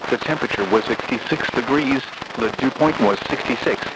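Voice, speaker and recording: A synthetic-sounding man reading a scripted automated weather bulletin in a flat, methodical way over a noisy radio broadcast. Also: mid-range voice, a little fast.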